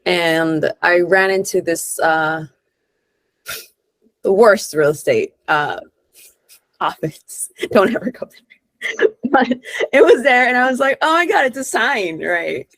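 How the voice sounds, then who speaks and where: A young adult woman from Honduras chatting casually as an interview guest, informal and expressive, peppering her stories with "like". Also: fairly low pitch, slow pace, long pauses, dry recording. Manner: informal and expressive, peppered with "like", acts out quoted lines. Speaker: guest, real estate investor and entrepreneur.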